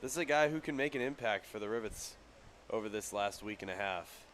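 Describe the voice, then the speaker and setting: An American man giving color commentary on a radio baseball broadcast in measured, separate phrases. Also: lowish voice, a bit bright, slight background noise. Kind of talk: live radio sports analysis.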